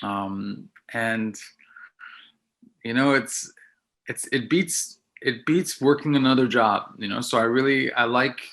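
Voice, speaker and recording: A middle-aged man whose first language is Italian, reflecting on his work as a filmmaker in a relaxed interview. His voice is bright and forward, and he circles back on his words often as he thinks aloud. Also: mid-range voice, takes it slowly. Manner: reflective, many restarts and repeated words. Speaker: filmmaker guest. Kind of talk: casual interview chat.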